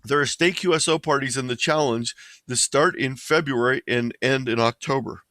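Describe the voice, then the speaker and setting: A man delivers an informational slide presentation in a medium-pitched voice. His manner is plain and explanatory, like a webinar lecturer. The sound is dry, with very little room echo. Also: moderate pace, a bit bright.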